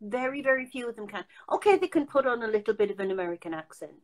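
An older woman hosts a casual video call from home, her voice sitting fairly high. She talks emphatically, often restarting her sentences as she presses a point. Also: expressive, fast talker. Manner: chatty, emphatic, many restarts. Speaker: host. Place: home room.

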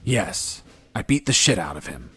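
Low, husky deadpan soldier. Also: male voice, normal speed, dry recording, soft background music, exaggerated voice. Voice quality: husky, gravelly. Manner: deadpan, dry. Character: gruff male soldier recounting his prison escape, deadpan wisecracker. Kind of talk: video game voice acting, narration.

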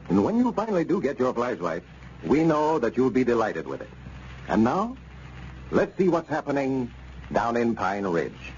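A man's voice: medium pitch, darkish tone. An American man announcing in 1930s radio style, with a polished, conversational sales delivery. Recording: muffled, noisy 1930s radio recording.

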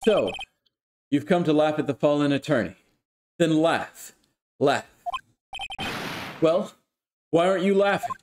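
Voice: medium pitch, lively intonation, unhurried, pauses often, background noise, close to an everyday voice. A reader doing a cold, bitter young prosecutor. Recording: background music.

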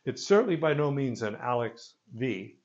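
An older man giving an informal product presentation in a gravelly voice, with halting, hesitant phrasing, recorded on a phone a few meters away. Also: medium pitch, lively intonation, a little mellow, lo-fi sound. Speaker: loudspeaker company presenter. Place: carpeted listening room with stone wall, indoors. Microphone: phone camera a few meters away.

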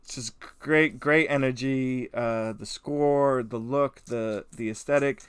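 A man's voice: medium pitch, steady tone, takes it slowly, clean tone, no room sound. A man co-hosting a podcast in casual discussion, loose and conversational, repeating words for emphasis. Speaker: podcast co-host.

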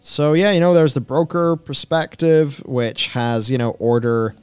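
A man's voice: fairly high pitch, steady tone, a little slow, clear, resonant tone, soft background music. A man in his thirties giving casual commentary as a co-host, with flippant asides and loose explanations, on a close studio microphone. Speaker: co-host of a gaming discussion show. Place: small indoor podcast studio. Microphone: close broadcast microphone on boom arm.

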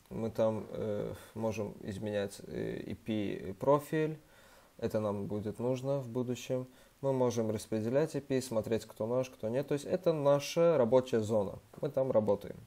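Casual young man with a mellow voice, slightly under the weather. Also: mid-range voice. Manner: chatty, explains with many fillers and restarts. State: slightly ill, voice a bit off. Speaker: student organization member giving a tutorial. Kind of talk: casual screen-recorded tutorial.

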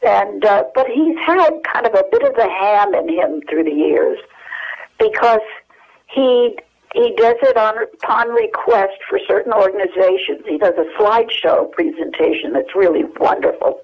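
A woman's voice: medium pitch, animated. Older lady on a phone call, rambling, lots of uhs, muffled dull line. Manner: rambling, halting with many fillers. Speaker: guest. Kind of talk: telephone interview. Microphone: telephone.

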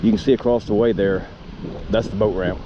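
Guy fishing, low easy drawl, rambly, wind all over the mic outside. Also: steady tone, a little fast, muffled recording. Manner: laid-back, rambling, drawling asides. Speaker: fishing vlogger. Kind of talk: casual narration to camera while fishing. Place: outdoors at a wooded lakeshore. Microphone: head-mounted action camera. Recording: clear wind noise on the mic.

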